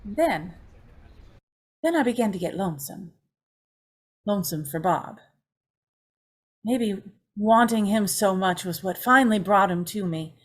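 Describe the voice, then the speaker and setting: A woman's voice: mid-range voice, expressive, measured pace, long pauses. A woman playing a tormented, pleading young woman, tearful and melodramatic in an old-time radio drama. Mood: haunted, confessional. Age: young adult. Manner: tearful, breathlessly emotional, melodramatic.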